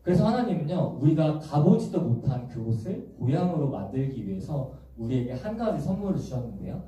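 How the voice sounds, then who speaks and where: Young guy preaching to the youth group, lively up-and-down voice, heavy hall echo. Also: on the high side, fairly quick. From Busan, South Korea. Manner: gentle, explanatory, asks the congregation questions. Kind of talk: sermon. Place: large echoey church hall. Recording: echoey church hall from far off.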